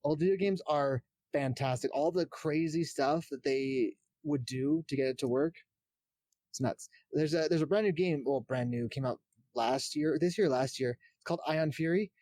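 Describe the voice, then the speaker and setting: A man with a mellow, relaxed tone that sits a little high. He talks as a podcast panelist, explaining things casually like a keen fan, in a close, dry sound. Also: normal speed, no room sound. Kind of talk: casual chat, enthusiast explaining.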